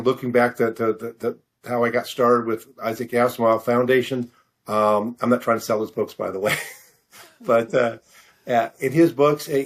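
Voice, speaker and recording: An older man answering interview questions as a guest author, speaking in a hesitant, halting, rambling way. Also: medium pitch. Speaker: novelist guest being interviewed.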